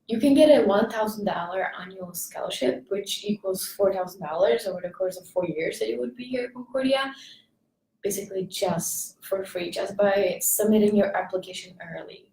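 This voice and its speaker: A woman's voice: medium pitch, normal speed, warm tone. Young woman from Slovakia, student panelist, filler-heavy casual talk, distant mic. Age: young adult. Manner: chatty, many fillers. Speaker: admissions intern. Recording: echoey room, off-mic.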